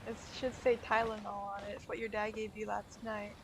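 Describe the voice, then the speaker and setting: A woman's voice: medium pitch, level tone, brisk, very noisy. A woman streaming a game, chatting casually in a blunt, informal way. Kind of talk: casual chat during a live stream. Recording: home stream with loud game music behind. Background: loud game music.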